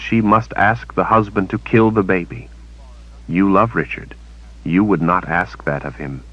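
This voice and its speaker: A man voicing a cold, smug witch woman who speaks in calm, measured, menacing tones. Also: deep voice, no room sound, highs cut off.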